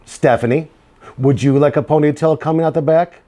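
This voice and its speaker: A patient storybook mother, read by a man at his own medium pitch. She questions her daughter in a measured, doubtful way and lays things down firmly. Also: steady tone, mellow, close to an everyday voice. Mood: offering.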